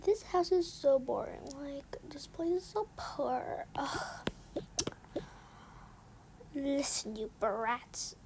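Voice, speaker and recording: A woman acts out a mean young babysitter for a toy-game story. She is high-pitched and sneering, bossing and huffing lazily over a very noisy recording. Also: lively intonation, takes it slowly, full character voice. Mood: bored, irritated. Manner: sneering, bossy, exasperated sighs. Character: rude lazy mean young female babysitter. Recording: noisy home recording with clicks.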